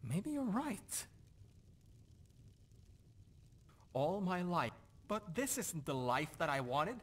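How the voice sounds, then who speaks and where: A man's voice: sits high, big pitch swings, unhurried, husky, exaggerated voice. An actor playing a gloomy, disillusioned nobleman in a fantasy video game, brooding and questioning himself. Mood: doubtful, frustrated. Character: dour, disillusioned Nordic nobleman doubting his purpose. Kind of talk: video game character dialogue.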